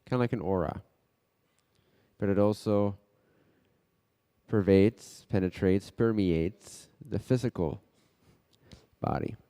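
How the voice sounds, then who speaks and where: A man guides a meditation in a lowish, very soft-edged mellow voice. He speaks very slowly, in short measured phrases with pauses, and his words ring out in a long hall-like reverb. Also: clear, resonant tone.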